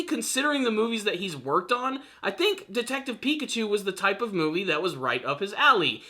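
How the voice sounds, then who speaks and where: A young man with a bright, fairly high voice presents a video review to his viewers. He is upbeat and earnest, stressing his points like a keen fan, with pitch that moves around a lot. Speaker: video reviewer and channel host. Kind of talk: enthusiastic film review commentary to viewers.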